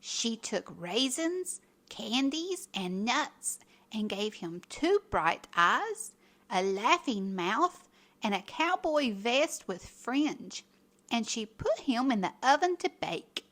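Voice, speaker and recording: A woman reading a children's story aloud as a storyteller, with expressive, dramatic emphasis. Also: a little high, slow pace.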